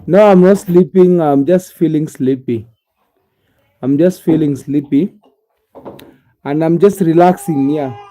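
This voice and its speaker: Kenyan man, sleepy live-stream chat, repeats himself, dark mellow voice, pitched a bit high. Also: measured pace, long pauses. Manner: repetitive, drowsy, talks directly to viewers by name. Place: home sitting room.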